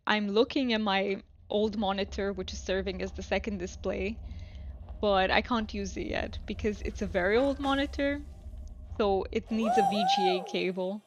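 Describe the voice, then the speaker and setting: A woman live streamer chatting casually while playing a video game, relaxed and rambling as she thinks aloud. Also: medium pitch, moderate pace, slight background noise. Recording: music playing behind the voice. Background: music.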